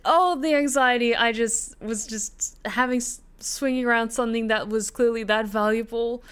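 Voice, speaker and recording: Fairly high-voiced woman, chatty game streamer. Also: steady tone, moderate pace, fairly bright tone, clean tone. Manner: chatty, informal, swearing. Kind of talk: casual reaction commentary on a visual novel.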